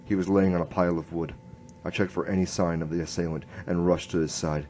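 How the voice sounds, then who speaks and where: An actor playing an earnest, halting noir police inspector who narrates his case in a radio drama. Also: deep voice, fairly even intonation, a little mellow, slight background noise, close to an everyday voice. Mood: brooding, tense. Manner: hard-boiled first-person storytelling, halting.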